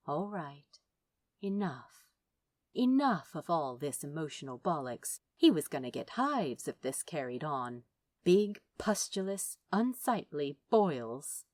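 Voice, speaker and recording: A woman reading fiction aloud as an audiobook narrator, emotive and dramatic, with pauses for effect. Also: sits low, no echo. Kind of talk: expressive dramatic scripted narration.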